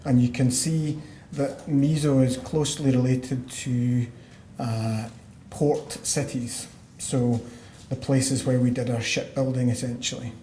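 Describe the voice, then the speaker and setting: A Scottish man, a lung doctor, giving a measured medical conference talk with frequent fillers and restarts. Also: medium pitch, steady tone, mellow. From Scotland. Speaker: pulmonologist giving a conference lecture. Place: conference hall. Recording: roomy hall sound, faint background noise.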